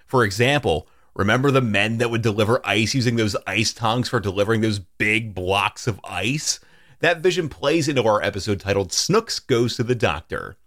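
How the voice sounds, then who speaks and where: A man hosting a podcast, reading a measured scripted introduction in a studio. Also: lowish voice, a bit bright, no echo. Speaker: podcast host.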